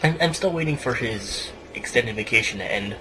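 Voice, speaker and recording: A man's voice: medium pitch, lively intonation, moderate pace. A man chatting casually on a podcast panel, joking and sometimes stammering. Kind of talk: casual group chat. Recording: noisy group podcast audio.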